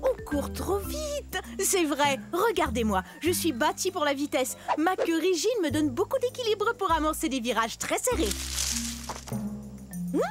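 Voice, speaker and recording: A woman's voice: very high-pitched, very animated, a little slow, soft background music, heavily acted. A woman voicing a boastful, playful young plant-eating dinosaur in a children's cartoon, exclaiming and chatting to the viewer. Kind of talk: animated children's educational cartoon acting.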